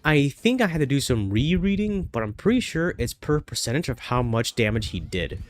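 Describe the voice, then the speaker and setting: A man's voice: medium pitch, very animated, moderate pace. A man with a stuffy nose from a cold, chatting casually and thinking aloud while playing a game, with frequent fillers and restarts. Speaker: gaming streamer. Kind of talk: casual gameplay commentary.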